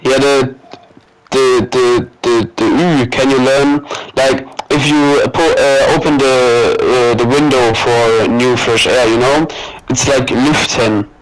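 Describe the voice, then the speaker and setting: Slow-talking German man on game chat. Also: mid-range voice, fairly even intonation, poor audio. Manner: patient, spells out words, many fillers. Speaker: gamer teammate. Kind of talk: casual voice chat while gaming.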